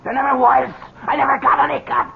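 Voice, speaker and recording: A man's voice: very high-pitched, flat delivery, fast, gravelly, full character voice. An American actor playing an older, shifty ex-convict father in a radio drama, colloquial and protesting. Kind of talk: 1970s radio drama acting. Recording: muffled noisy old radio broadcast recording.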